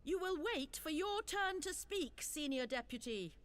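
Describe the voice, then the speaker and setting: A dignified, commanding protector presiding in a fantasy game. She speaks formally and deliberately. Her high voice moves with lively emphasis. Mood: stern, commanding. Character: stern, dignified female council protector presiding. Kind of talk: fantasy video game voice acting.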